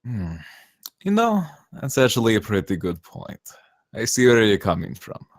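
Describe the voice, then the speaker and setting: A man's voice: fairly low pitch, huge pitch swings, normal speed, dry recording, clean studio sound. A man playing a casual, agreeable terrorist leader in a space comedy table read, with an offhand conversational manner. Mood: offhand, conceding.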